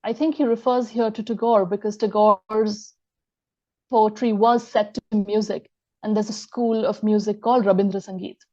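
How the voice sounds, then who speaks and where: Woman, lecturer, measured academic reading, mellow tone, slightly muffled recording. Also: medium pitch, steady tone. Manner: measured, reads quotations aloud.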